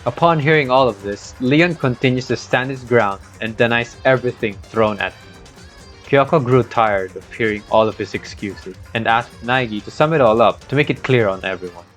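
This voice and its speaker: A man's voice: mid-range voice, normal speed, a bit bright. A young man with a Filipino accent narrates a game playthrough from a script, with casual asides. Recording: dull scuffed mic, music behind.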